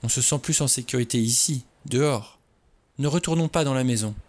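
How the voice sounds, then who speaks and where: A man reading an audiobook, voicing a frightened young woman who speaks in a high, strained, urgent way. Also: a little fast, darkish tone, natural voice. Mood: fearful.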